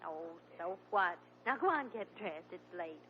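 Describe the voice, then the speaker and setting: A woman's voice: lowish voice, lively intonation, measured pace, slight background noise. An actress playing a sensible, dryly exasperated wife and mother in a 1940s radio sitcom. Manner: dry, exasperated. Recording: dull lo-fi 1940s radio broadcast.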